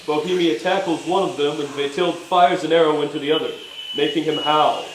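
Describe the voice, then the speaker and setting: A man reads stage directions at a live staged reading. His voice sits a little high. The room is echoey, with faint noise behind him. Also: steady tone, a little fast. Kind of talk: scripted narration for a staged reading.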